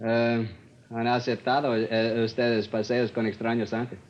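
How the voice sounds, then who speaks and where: An actor playing a patient bilingual police officer who interprets in a matter-of-fact, measured manner in a 1950s radio drama. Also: male voice, medium pitch, little pitch movement, close to an everyday voice. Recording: dull vintage 1950s radio recording.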